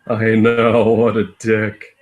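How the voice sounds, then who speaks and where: A man's voice: on the low side, monotone, slow, phone-quality sound. A man co-hosting a live stream in casual chat, chiming in with short, jokey reactions.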